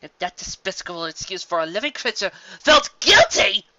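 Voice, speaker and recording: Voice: mid-range voice, sing-song, moderate pace, sharp tone, no room sound, dull audio, voice pushed up. A reader voicing a younger pony princess, hot-tempered and anxious, who speaks with great emphasis. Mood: furious. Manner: stammering, emphatic outbursts.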